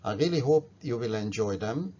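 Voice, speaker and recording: An older man from Hungary with a Hungarian accent, giving a formal, measured university lecture over slides. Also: medium pitch, animated, warm tone. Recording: dry, dull lo-fi recording.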